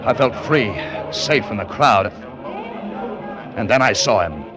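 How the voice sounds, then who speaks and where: An actor playing a hard-boiled American adventurer in an old radio drama, terse, wry and dramatic. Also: male voice, on the low side, expressive, a little slow, crowd noise. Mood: tense, breathless. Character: hard-boiled American adventurer telling his own escape story. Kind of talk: 1940s-50s radio drama acting, first-person narration. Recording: vintage radio with faint music behind.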